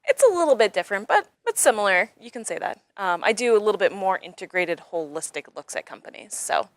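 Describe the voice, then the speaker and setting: Young woman from New Hampshire, low, animated voice, dry close sound, friendly explainer. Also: fast, no echo. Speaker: newly elected alderwoman. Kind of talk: casual interview chat.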